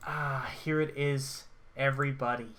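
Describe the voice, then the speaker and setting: A man's voice: medium pitch, little pitch movement, a little slow, noisy background. A young adult man giving casual gameplay commentary as co-host of a gaming show.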